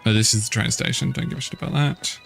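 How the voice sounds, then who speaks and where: A man's voice: mid-range voice, fairly even intonation, fast, gravelly, fairly bright tone. A man from the UK casually commenting while playing a video game, with wry, grumbling asides. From United Kingdom. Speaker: gaming streamer. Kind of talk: casual let's-play commentary. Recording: game music behind the voice. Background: video game music.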